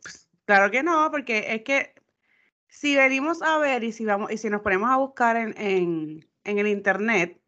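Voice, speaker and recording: Woman on a podcast, kinda high voice, muffled lo-fi sound, gets fired up. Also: moderate pace. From United States, Puerto Rican family. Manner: animated, argumentative, many restarts. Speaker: podcast co-host. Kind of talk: casual podcast chat. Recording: dull lo-fi sound.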